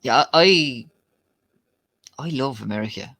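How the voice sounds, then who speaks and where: A man's voice: medium pitch, lively intonation, slow pace, bright, forceful tone, dry recording. A young Irish man co-hosting a podcast, talking bluntly with plenty of swearing and teasing. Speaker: podcast co-host. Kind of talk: casual chat.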